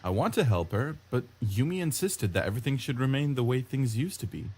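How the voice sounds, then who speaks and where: A man's voice: mid-range voice, lively intonation, a little fast, slight background noise, close to an everyday voice. A man reading visual novel narration aloud as an introspective, wry sixteen-year-old schoolboy. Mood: pensive. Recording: loud guitar music under the voice. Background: guitar background music.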